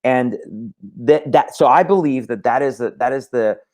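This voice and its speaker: Earnest Iran-born man with halting restarts. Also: mid-range voice, darkish tone. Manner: earnest, many restarts and stammered repeats. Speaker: guest. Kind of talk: casual talk show conversation.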